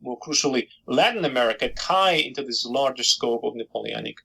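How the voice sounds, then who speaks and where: A middle-aged man, a historian from Georgia whose first language is Georgian, answering interview questions thoughtfully with many pauses and fillers. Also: mid-range voice, lively intonation, creaky, pushed and bright, breathy edge. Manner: thoughtful, hesitant with frequent fillers. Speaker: historian, author.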